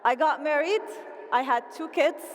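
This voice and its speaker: A woman with a Lebanese accent giving an animated, playful motivational speech at a convention and rallying the crowd. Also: sits high, normal speed. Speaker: convention speaker. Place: large convention hall. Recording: reverberant hall, faint ambience.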